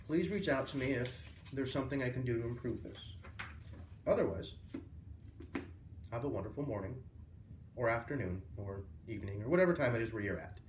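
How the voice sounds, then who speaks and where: A man reading a children's book aloud as a storyteller, with expressive, emphatic phrasing. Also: mid-range voice, brisk, long pauses, slight background noise. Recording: roomy echo, distant microphone.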